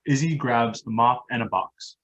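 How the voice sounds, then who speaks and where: A man's voice: medium pitch, normal speed, a bit bright, dull audio. A man narrating stage directions as scripted reading for a play.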